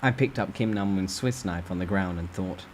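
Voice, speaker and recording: Guy with lowish voice doing dramatic storytime narration, first person, faint ambience in the back. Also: moderate pace, a little hiss or hum.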